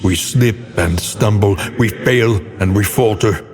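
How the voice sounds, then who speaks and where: A man playing a brooding, eloquent mentor who narrates a dark video game in a solemn, theatrical, portentous manner. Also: fairly low pitch, a little slow, long reverb tail, close to an everyday voice. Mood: grave, reflective. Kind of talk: dramatic scripted game narration.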